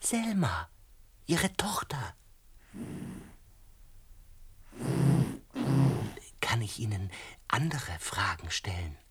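An actor playing an earnest, awed lodger in a radio drama, grave and halting, with a breathy, whispery voice. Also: male voice, fairly low pitch, huge pitch swings, very noisy, full character voice. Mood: hushed, probing. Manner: halting, hushed, grave, deliberate. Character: earnest male lodger and butterfly breeder, awed by dread. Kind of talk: German radio drama acting.

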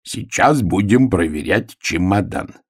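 Pompous husky little bear cub, played by a man. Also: sits low, animated, dry recording, clean studio sound, full character voice. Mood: solemn, ceremonious. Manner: pompous, deliberate, solemn, gruff. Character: self-important, stubborn little bear cub playing a stern official.

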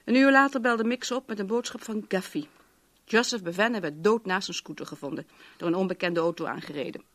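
A woman's voice: medium pitch, brisk, a bit bright, slight background noise, close to an everyday voice. An actress playing a detective's efficient female assistant in a radio play, clipped and matter-of-fact. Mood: brisk, factual. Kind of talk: Dutch radio play acting.

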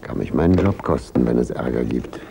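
A man acting a gruff, wary hotel detective with a gravelly voice in a German radio crime drama. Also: very deep voice, animated, brisk, warm tone, heavily acted. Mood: compliant. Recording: slightly noisy radio drama recording.

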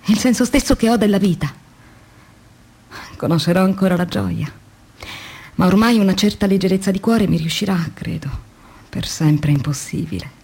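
A woman's voice: medium pitch, normal speed, long pauses, darkish tone, breathy edge. An actress playing an earnest, weary woman factory worker in a radio drama monologue, reflective and intense in a theatrical way. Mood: sombre, resigned. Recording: noisy radio drama with background ambience.

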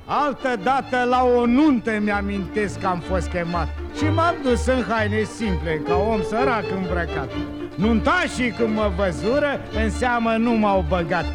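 A wily Turkish trickster from a vintage radio comedy. His voice sits high and he spins rhymed tales in an excitable sing-song, full of exclamations. Faint music is in the background. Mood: comic storytelling. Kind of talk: 1950s radio comedy acting, rhymed storytelling.

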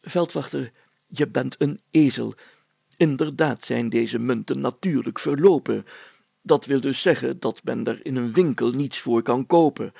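A man's voice: mid-range voice, unhurried, vocal fry, warm tone, slightly rough, no room sound, full character voice. A man voicing a pompous, fussy village mayor in an audiobook, speaking formally and stumbling into self-corrections. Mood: pompous, lecturing.

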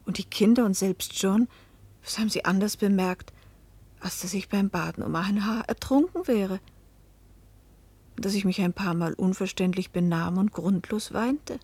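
A pensive middle-aged woman telling her own story in a German radio play. Her voice is husky and sits at a medium pitch. She speaks in a measured, literary way, at times sinking toward a whisper. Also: a little mellow, no echo. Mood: pensive, fateful. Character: pensive 41-year-old American wife and mother recounting a fateful journey.

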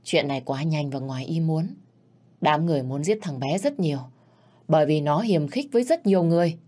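Woman reading a stern gang boss, low and husky, clipped and grave. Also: animated, a little fast, dark tone. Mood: grim. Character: stern middle-aged gang boss husband.

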